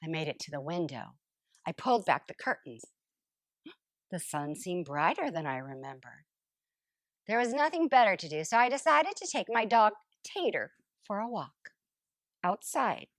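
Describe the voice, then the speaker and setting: A woman reading a children's picture book aloud like a school librarian, with dramatic pauses and stretched words. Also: on the low side, big pitch swings, dry recording, clean studio sound.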